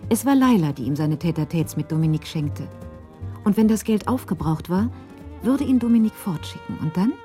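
Casting: female narrator for a radio crime drama, warm dark tone, slightly low, faint music behind. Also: expressive, brisk.

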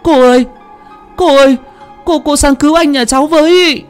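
A man voicing a frantic young village woman who begs for help, shrill and pleading. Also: a little slow, soft background music.

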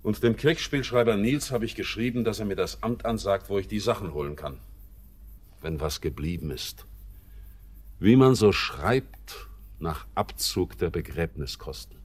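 A man's voice: fairly low pitch, a little slow, husky. An actor playing a bitter, haunted seafaring man in a German radio play, declaiming poetic lines theatrically. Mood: bitter grief.

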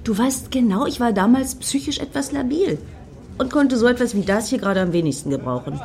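A woman's voice: fairly high pitch, lively intonation, brisk, a little mellow. A woman acting in a satirical radio play as a tart, ironic friend on a night out, mocking with dry irony.

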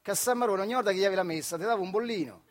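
Nostalgic factory worker, solo stage storyteller, high voice, central Italian Marche accent, wry and colloquial. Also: male voice, rapid-fire. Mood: animated storytelling. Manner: colloquial, acting out dialogue. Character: working-class factory man telling nostalgic, wry stories of his comrade. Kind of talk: theatrical monologue storytelling in dialect.

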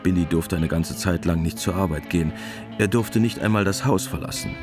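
A man narrating a literary war story in a radio play, measured, detached and matter-of-fact. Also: deep voice. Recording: music under the narration.

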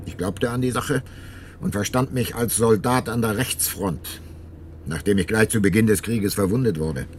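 An actor playing an ageing, remorseful detective and former prosecutor in a radio drama, narrating and talking in a measured, reflective way. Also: male voice, fairly low pitch, a little mellow, a little hiss or hum, natural voice. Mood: confessional. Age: older. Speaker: narrator and lead character.

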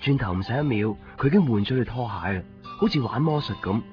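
A man's voice: mid-range voice, animated, fast talker, close to an everyday voice. An actor playing an earnest, curious young man with a Hong Kong Cantonese accent, talking and narrating in a radio drama. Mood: reflective. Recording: dull 1990s radio, loud music behind. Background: music.

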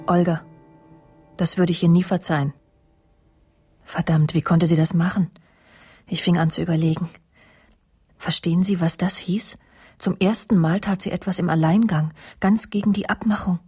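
Low-voiced, possessive, needling woman over music. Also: steady tone, normal speed, pauses often, mellow, breathy edge, no echo, studio quality. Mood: bitter, confiding. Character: possessive, jealous, needling woman recounting a feud. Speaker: radio drama actress. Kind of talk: German radio crime drama, dialogue and confessional narration.

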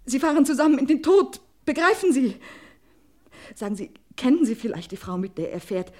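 A desperate wife acted by a woman in a vintage radio thriller, her voice fairly high. She pleads with agitated urgency and breaks off her sentences. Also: dark tone, natural voice. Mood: bitter, helpless. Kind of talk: old German radio crime play acting.

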